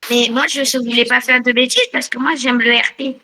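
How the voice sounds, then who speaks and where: A woman in casual gaming voice chat, explaining in a halting, rambling, defensive way. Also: a little high, flat delivery, pushed and bright, slight background noise. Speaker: new online roleplay game player. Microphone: online voice chat microphone.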